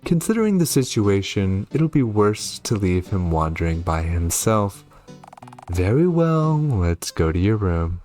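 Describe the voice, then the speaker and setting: A man voicing a weary witch mother in a game, measured and dry, coolly indulgent with faint exasperation. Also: fairly low pitch, sing-song, warm tone, faint music behind. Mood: resigned.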